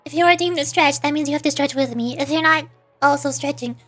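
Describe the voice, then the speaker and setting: A woman with a high voice chats casually on a livestream. She rambles and restarts her thoughts in a giggly way. Music plays behind her. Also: fast, fairly bright tone. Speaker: streamer and voice actor.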